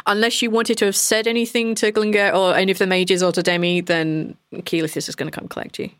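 A woman's voice: medium pitch, little pitch movement, fast talker, no room sound, clean studio sound. A woman acting as game master, narrating a tabletop game in an informal, conversational way.

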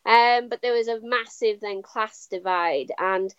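A woman, a guest academic, explaining in a measured, thoughtful way with frequent ums and restarts. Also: on the high side, fairly bright tone, smooth, no echo, lo-fi sound. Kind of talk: explanatory interview talk.

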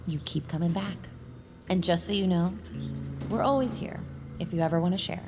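An actress playing the friendly, encouraging leader of a support group. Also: female voice, fairly low pitch, moderate pace, music in the background, natural voice.

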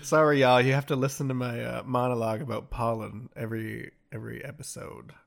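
A man's voice: mid-range voice, flat delivery, fairly quick, creaky. A young man co-hosting a podcast, slightly congested, chatting with mock-posh, dramatic humor. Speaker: podcast co-host. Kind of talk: casual chat.

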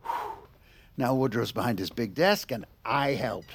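A man's voice: mid-range voice, a little slow, gravelly, a little hiss or hum. A man playing a jumpy, cynical, profane political insider who sputters in an audio drama. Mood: panicky, irritated. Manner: incredulous, sputtering, sarcastic. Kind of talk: audio fiction drama acting.

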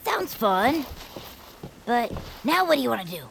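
Eager, chatty, oblivious young pal. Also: female voice, a little high, big pitch swings, unhurried, creaky, slight background noise, exaggerated voice. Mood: breezy, eager. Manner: breezy, folksy, chattering. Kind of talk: family audio drama acting.

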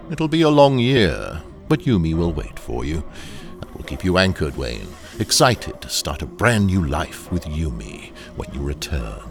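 An actor playing a ruthless corporate training engineer in an audio drama, coaxing and controlling in a deliberate, sinister manner. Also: male voice, very deep voice, very animated, creaky, over music, heavily acted. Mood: smoothly controlling.

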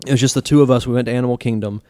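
A man's voice: medium pitch, fairly even intonation, rapid-fire, darkish tone, no echo, studio quality. A man co-hosting a podcast in casual chat, easygoing and telling stories. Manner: easygoing, storytelling. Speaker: podcast co-host.

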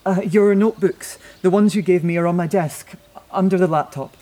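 An actor playing a wistful, reflective man in a naturalistic audio play, speaking haltingly and lyrically. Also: high voice, warm tone, close to an everyday voice. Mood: tender, resigned. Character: wistful, elated man saying goodbye to his beloved. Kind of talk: naturalistic audio drama acting.

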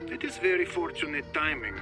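An actor playing a wary, reluctant fixer in an audio drama, talking in a measured, skeptical way. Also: male voice, on the high side, lively intonation, over music, close to an everyday voice. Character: wary, reluctant male fixer from her past. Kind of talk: audio fiction acting.

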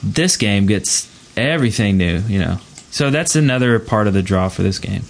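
A man's voice: lowish voice, expressive, moderate pace, vocal fry. A man chatting casually as the host of a gaming podcast.

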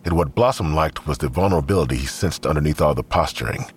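Male narrator for fiction podcast, exceptionally low pitch, scripted storytelling, slight rumbling noise underneath. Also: quick pace. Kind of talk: scripted audio drama narration.

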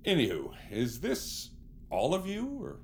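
An actor playing a smarmy, theatrical celebrity who poses as a savior, speaking in a pompous, evasive, grandiose way in an audio drama. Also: male voice, a little high, wildly swooping pitch, a little slow, gravelly, darkish tone, slight background noise, full character voice. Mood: probing, solemn.

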